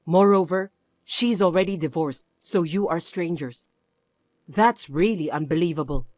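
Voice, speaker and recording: A voice actor playing a protective middle-aged mother who speaks in a low, cold and clipped way. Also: a little slow, a little mellow, no echo, natural voice. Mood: firm. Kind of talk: dramatized story reading.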